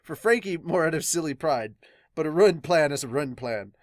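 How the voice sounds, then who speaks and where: A man chatting casually as a podcast host, reading comments aloud and reacting in an animated but halting way. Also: on the high side, no room sound, clean studio sound.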